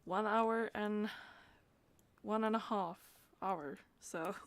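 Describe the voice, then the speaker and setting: Slow-talking woman chatting on a livestream. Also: mid-range voice, no echo. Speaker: live streamer. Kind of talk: casual livestream chat.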